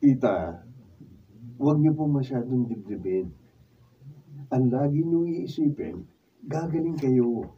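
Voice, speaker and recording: A man's voice: medium pitch, takes it slowly, pauses often, slight background noise, natural voice. A man playing a conscientious, uneasy doctor in a radio drama, earnest and a little hesitant. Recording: dull, distant room recording.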